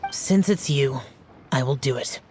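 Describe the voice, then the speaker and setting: An aloof young immortal guardian speaks in a solemn, reserved voice. The phrasing is formal and terse, in the measured manner of video game fantasy acting. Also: male voice, medium pitch, noisy background. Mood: reluctant.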